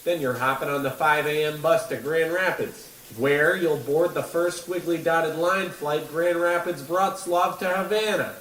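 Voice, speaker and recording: A man's voice: on the high side, fairly bright tone. A man playing a bullying baseball coach with a stern, scolding manner in a comedy radio play. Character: gruff, bullying small-town baseball coach. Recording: distant, echoey room with faint noise.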